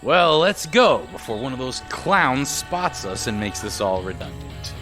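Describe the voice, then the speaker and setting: An actor playing an alarmed, fatalistic survivor with an emphatic, sardonic delivery in an audio drama. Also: male voice, medium pitch, big pitch swings, a little slow, sharp tone, faint music behind. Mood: urgent, fatalistic. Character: alarmed, foul-mouthed, fatalistic male survivor.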